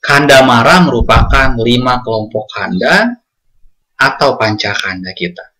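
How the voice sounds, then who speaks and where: Slow, bright-voiced Buddhist preacher man. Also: medium pitch, expressive. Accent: Indonesian. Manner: addresses congregation often, sings hymn lines slowly. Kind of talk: sermon.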